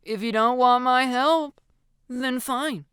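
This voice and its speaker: A woman's voice: sits high, slow, a bit bright, smooth, dry recording, clean studio sound, voice pushed up. A woman voicing a frank, exasperated teenage schoolgirl with a blunt, scolding manner in a story narration. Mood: irritated.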